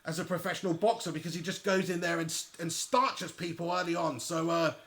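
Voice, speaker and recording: A man giving chatty, excitable live commentary on a boxing match and talking straight to his viewers. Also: a little high, fairly quick. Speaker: livestream fight commentator.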